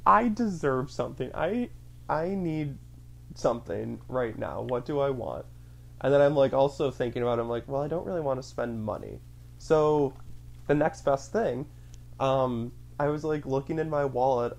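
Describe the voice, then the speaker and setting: Rambling mid-pitched chatty storyteller. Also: animated, moderate pace, gravelly. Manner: rambling, filler-heavy, acts out own thoughts in quotes. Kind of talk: casual chat, storytelling.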